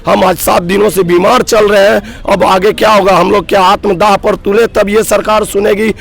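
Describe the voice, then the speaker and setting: A hoarse man in his thirties, a block coordinator at a roadside protest, speaking earnestly and with rising grievance in a street interview. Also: high-pitched, flat delivery, moderate pace. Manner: aggrieved, earnest, builds to emphatic outbursts. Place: roadside protest sit-in outdoors.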